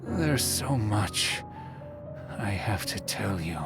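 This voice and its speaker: Dying father, gravelly and halting. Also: on the low side, animated. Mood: weak, remorseful. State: gravely weakened, struggling to speak. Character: dying middle-aged father, weak and remorseful. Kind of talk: video game voice acting. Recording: loud background music.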